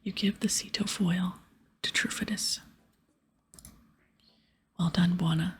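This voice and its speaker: A woman speaking in a soft, breathy whisper close to the mic, giving gentle, casual ASMR gameplay commentary. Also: lowish voice, fairly even intonation, slow. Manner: gentle, hushed, casual rambling. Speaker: ASMR gaming video creator. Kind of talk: ASMR whispered gameplay commentary with quest dialogue read aloud.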